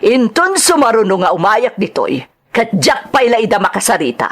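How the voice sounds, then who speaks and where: A woman's voice: on the high side, very animated, moderate pace. A woman plays a middle-aged mother in a radio-style drama. She is domineering and impatient, with a harsh, scolding delivery that bosses whoever is listening. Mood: angry, scolding.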